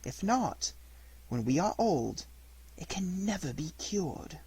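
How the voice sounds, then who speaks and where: A reader voicing a calm, motherly fairy who speaks softly and admonishes gently in a storybook reading. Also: medium pitch, slow pace, husky, dry recording, full character voice. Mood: admonishing. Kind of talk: audiobook character reading.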